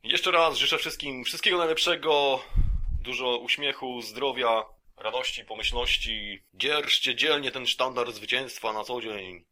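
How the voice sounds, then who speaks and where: Guy doing a satirical podcast rant like a fake lecture, really sharp punchy voice, rambly, mock serious. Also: mid-range voice, a little slow. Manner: mock-serious, rambling, conversational with rhetorical tag questions. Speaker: podcast host.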